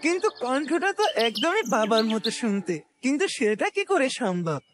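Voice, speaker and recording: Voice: high-pitched, very animated, moderate pace, a little hiss or hum. A performer voicing a humble, long-suffering poor young village man in a cartoon, self-effacing and often musing to himself. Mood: puzzled. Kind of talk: dramatic cartoon dubbing.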